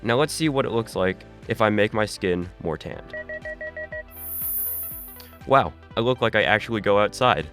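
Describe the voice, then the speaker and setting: Low-voiced man acting a slick tech pitchman. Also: lively intonation, normal speed, pauses often, fairly bright tone, soft background music. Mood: polished sales pitch. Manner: salesmanlike, rhetorical, instructive. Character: slick male tech company head engineer pitching a gadget. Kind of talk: scripted infomercial presentation.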